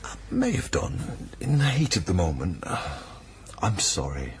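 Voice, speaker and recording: An actor playing an earnest, concerned partner in naturalistic radio drama dialogue, speaking gently. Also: male voice, sits low, huge pitch swings, measured pace, background noise, full character voice. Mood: defensive, apologetic. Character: earnest, concerned male partner of a troubled woman.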